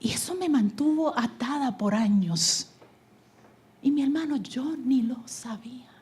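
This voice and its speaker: A woman from New Jersey giving a preaching testimony at a conference, moving from loud exhortation to hushed whispers. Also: high-pitched, lively intonation, a little slow, husky. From United States (New Jersey). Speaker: conference speaker. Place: conference hall stage.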